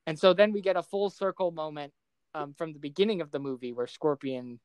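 A man's voice: on the high side, a little fast, a little mellow, clear, resonant tone, dry recording. A man co-hosting a podcast, chatting casually and enthusiastically about films in an informal, giggly way. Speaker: podcast co-host. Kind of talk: casual film discussion chat.

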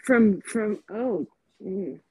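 Female co-host, unhurried, dry close sound, conversational. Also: medium pitch, a little mellow, breathy edge, dry recording, poor audio. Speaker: podcast co-host. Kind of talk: casual chat.

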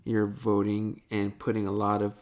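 A man's voice: on the low side, monotone, moderate pace, darkish tone. A man co-hosting a casual podcast, chatty and enthusiastic, often correcting himself mid-sentence. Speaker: podcast co-host.

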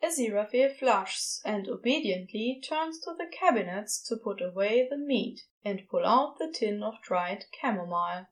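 A woman's voice: mid-range voice, expressive, moderate pace, hardly any pauses. A woman reading scripted fiction aloud as the narrator of an audio drama.

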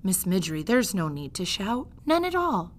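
Suave male storybook rat, played by a woman reader: courtly, scheming, flattering, with a very animated pitch. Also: normal speed, natural voice. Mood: coaxing, persuasive. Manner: formal, flowery, polite phrasing.

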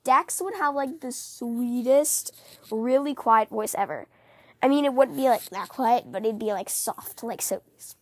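Female podcast host, very high voice scratchy with a cold, chatty rambling fan talk, dry close sound. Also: measured pace, dry recording. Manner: giggly, rambling, animated.

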